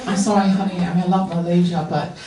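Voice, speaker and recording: A man's voice: sits high, quick pace. Camp Taiwanese-accented man doing stand-up. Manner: camp, bitchy, deadpan asides. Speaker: stand-up comedian. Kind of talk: stand-up comedy set. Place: comedy club stage with a laughing crowd. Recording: echoey club, distant mic, loud crowd noise.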